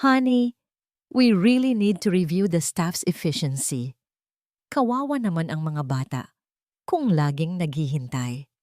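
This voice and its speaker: A woman's voice: lowish voice, big pitch swings, a little slow, darkish tone, no echo, clean studio sound. A woman voicing a cold, condescending rich fiancée who talks in sugary, manipulative phrases, mixing English and Tagalog, in an audio drama. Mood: falsely sweet.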